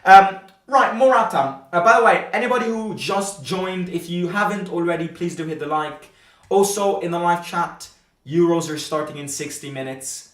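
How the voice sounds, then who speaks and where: A man's voice: high-pitched, normal speed, a bit bright. A man hosting a live football fan stream, chatting conversationally and hesitantly, often repeating himself as he goes. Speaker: fan channel host and streamer. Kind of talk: live-stream football chat, reading viewer comments. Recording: roomy echo, distant from microphone.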